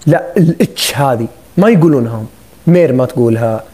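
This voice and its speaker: A man hosts a casual phone live stream, full of jokes and teasing. He talks quickly, and his voice jumps around a lot. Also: mid-range voice, expressive, warm tone, breathy edge. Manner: teasing, joking banter. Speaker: livestream host.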